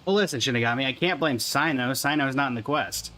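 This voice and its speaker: A man's voice: medium pitch, quick pace, sharp tone. A man casually reacting and joking as he streams a video game. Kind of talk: casual live gameplay reaction.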